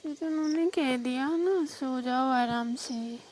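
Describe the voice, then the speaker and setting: High-voiced, coy young village wife acted by a woman. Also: measured pace, darkish tone, a little hiss or hum. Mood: sleepy, casual. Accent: Rajasthani. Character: shy, hesitant young married village sister-in-law on night call. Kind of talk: staged phone-call role-play in casual talk.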